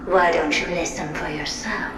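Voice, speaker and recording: A woman's voice: fairly low pitch, very noisy, full character voice. A woman voicing an eerie, girlish magic mirror servant in a video game, theatrical, servile and mischievous. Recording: echoey and distant, loud music behind.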